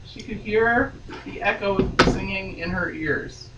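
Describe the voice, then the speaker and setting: A woman's voice: medium pitch, takes it slowly, muffled recording. A woman giving a theatrical, mock-serious dramatic reading of a romance novel as a guest reader. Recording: echoey room, distant mic, faint noise.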